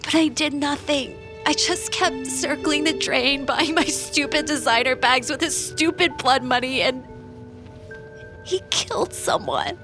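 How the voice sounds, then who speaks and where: A high, slow-paced voice carries a shaken young woman in grief. She is halting and emotional, a rich man's daughter in a naturalistic audio drama, with faint music underneath. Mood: guilt-ridden. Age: young adult.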